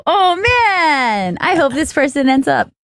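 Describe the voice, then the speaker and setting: A woman co-hosting a radio talk show, reacting quickly and playfully. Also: high-pitched, big pitch swings, fairly bright tone, dry recording.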